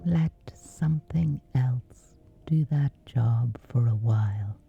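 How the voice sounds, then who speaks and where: A woman with a whispery voice, slowly and gently guiding a sleep meditation. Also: rumbling low, vocal fry, breathy edge, phone-quality sound, faint music behind. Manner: slow, soothing, unhurried. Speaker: meditation guide.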